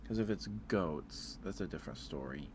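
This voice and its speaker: A man's voice: rumbling low, expressive, normal speed, background noise. A man who streams ASMR, chatting casually and musing aloud in a hesitant, rambling way. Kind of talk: casual ASMR livestream chat.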